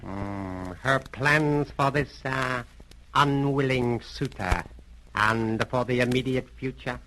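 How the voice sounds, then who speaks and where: An actor playing a sinister, commanding criminal mastermind in a 1930s radio drama, speaking formally and deliberately with cool courtesy. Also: male voice, mid-range voice, pushed and bright. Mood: musing, suspicious. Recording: noisy old 1930s radio recording.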